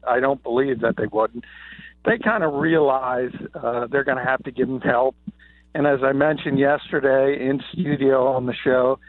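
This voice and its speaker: A slightly hoarse man, an NFL columnist calling in, gives confident, opinionated analysis with many "uh" fillers. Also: a little high, no room sound. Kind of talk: sports radio analysis by phone. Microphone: phone. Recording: tinny dry phone line.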